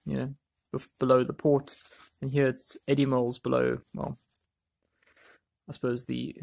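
A man presenting a hands-on how-to in a casual, offhand way, thinking aloud as he works, with a husky, creaky voice. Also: mid-range voice, darkish tone. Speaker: DIY video presenter.

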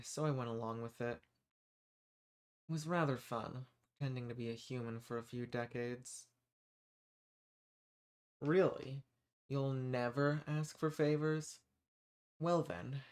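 A man voicing an ancient, dominant archdemon in a scripted roleplay audio, smug and amused, talking down to the listener with drawn-out emphasis. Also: mid-range voice, darkish tone, lightly acted.

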